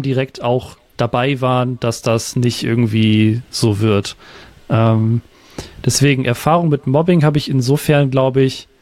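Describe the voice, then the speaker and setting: A man's voice: mid-range voice, a little mellow, dry recording. Reflective, hesitant man in casual conversation. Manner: hesitant, reflective, searching for words.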